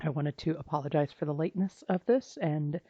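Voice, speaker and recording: A health practitioner, slightly hoarse from allergies, talking through a client's blood test results in a halting, informal way with many restarts. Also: mid-range voice, dark tone, dry recording, lo-fi sound. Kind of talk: private recorded walkthrough of lab results.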